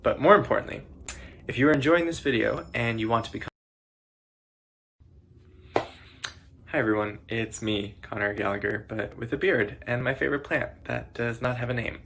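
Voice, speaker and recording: A man's voice: medium pitch, a bit bright. A man giving a casual promotional greeting to viewers, recorded with some background noise.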